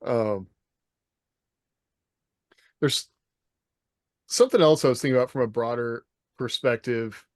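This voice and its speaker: A man's voice: mid-range voice, a little slow, long pauses, no echo. Dry-sounding man thinking aloud. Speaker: podcast co-host, military veteran. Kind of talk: casual panel discussion. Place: indoors, video call.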